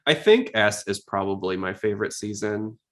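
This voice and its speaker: Male podcast host, lowish voice, chatty and opinionated in relaxed fan discussion. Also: normal speed, noisy background. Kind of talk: casual fan podcast chat.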